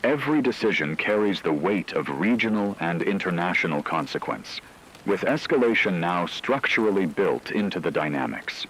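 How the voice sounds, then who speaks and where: A man voicing a grave radio war correspondent, reading a scripted news report in a formal, measured way. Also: low-pitched, lively intonation, a bit bright, slight background noise, rough recording.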